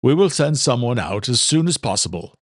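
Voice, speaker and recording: A man's voice: medium pitch, big pitch swings, a little fast, no room sound, clean studio sound. A man doing a formal police desk officer.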